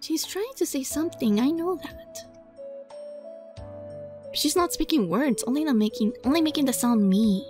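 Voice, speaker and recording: A woman reading a visual novel aloud as a let's play, dramatic and playful, in a giggly, flustered, excitable manner. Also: sits high, normal speed, pauses often. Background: music.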